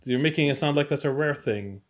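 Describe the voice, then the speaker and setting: A middle-aged man is giving a personal interview in a conversational way. His voice sits at an ordinary male pitch, and he speaks candidly, retelling experiences and voicing the people in them. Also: a little fast. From born in Iraq. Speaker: interview guest, game designer.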